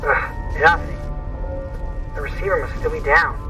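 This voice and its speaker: A man's voice: on the high side, fairly even intonation, measured pace, recorded from a distance, music in the background, close to an everyday voice. A man playing a wary, hesitant radio technician in a sci-fi audio drama, cautious and resigned in tone. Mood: frustrated.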